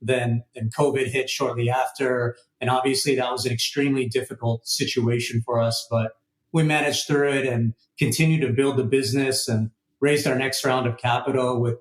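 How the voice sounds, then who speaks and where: American male founder, podcast guest. Conversational delivery, average pitch, roomy sound. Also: fairly even intonation, moderate pace. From United States. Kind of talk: conversational interview answers.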